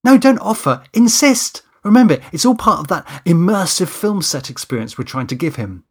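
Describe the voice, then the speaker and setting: A man's voice: fairly high pitch, sing-song, a little fast, breathy edge, pitched above the performer's own voice. A man voicing a flustered, anxious man running a film shoot in an audiobook, hesitant, stammering and defensive. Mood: defensive, manic.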